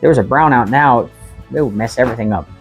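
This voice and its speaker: A woman's voice: extremely low pitch, fast, breathy edge, highs cut off, faint music behind. A young American woman talking frankly in a casual vlog, chatty and laughing often. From United States. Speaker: vlogger.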